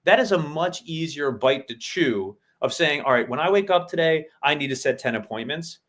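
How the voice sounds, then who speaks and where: A man's voice: a little high, animated, moderate pace, a bit bright. A man co-hosting a casual business podcast who explains things methodically, step by step. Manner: methodical, breaks goals down step by step. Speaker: podcast co-host.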